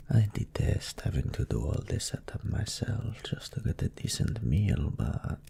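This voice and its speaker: Guy doing a low slow demon incubus, super gravelly, smug and breathy, audio roleplay, bit of noise behind. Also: mellow, exaggerated voice. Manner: languid, smug, sardonic musing. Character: smug, lustful male demon incubus, sly schemer. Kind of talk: scripted character monologue in audio roleplay.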